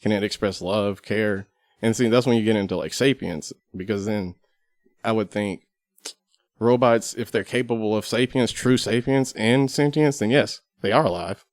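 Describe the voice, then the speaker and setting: A man's voice: on the low side, normal speed, no room sound, clean studio sound. A man as a podcast guest in casual discussion, explaining his distinctions step by step. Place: studio.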